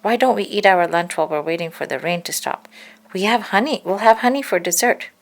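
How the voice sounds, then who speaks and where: A woman's voice: mid-range voice, brisk, gravelly. A woman voicing a candid, questioning female bear friend in a children's storybook, with lilting delivery. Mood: concerned.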